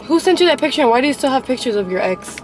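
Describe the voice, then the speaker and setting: A woman's voice: high voice, animated, rapid-fire, faint music behind. A young woman vlogger chatting casually and bluntly with a friend in a car. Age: young adult. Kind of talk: casual chat. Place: inside a car.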